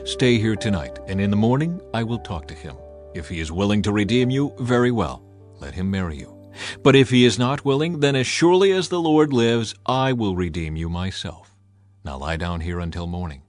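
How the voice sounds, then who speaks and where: A man's voice: fairly low pitch, animated, moderate pace, darkish tone, soft background music, natural voice. Kind, decisive landowner, voiced by a male narrator. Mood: earnest, reassuring. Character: kind, decisive landowner man, honorable and protective.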